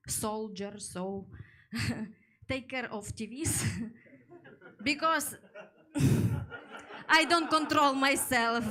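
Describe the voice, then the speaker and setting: A woman in her twenties with a Ukrainian accent performs stand-up on a club stage, her English halting as she slips into her native tongue. Her voice sits high and sounds bright and sharp. She takes her time, breaking up lines with her own laughter. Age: 20s. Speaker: stand-up comedian. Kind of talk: stand-up comedy set. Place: comedy club stage with a live audience.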